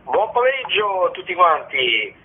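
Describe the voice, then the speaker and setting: A man's voice: high voice, very animated, a little slow, phone-quality sound. A man who is a regular theatre critic on a radio show, running through the week's plays in a loose, rambling way. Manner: rambling, many fillers and restarts.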